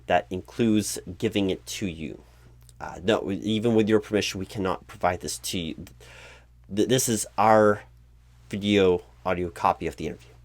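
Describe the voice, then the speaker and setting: A man acting as a corporate recruiting manager with a lowish voice, recorded close and dry. He is polite and bureaucratic, a little flustered, halting and repeating himself as he patiently over-explains in a one-sided ASMR interview roleplay, spoken aloud. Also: no room sound. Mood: formal, patient.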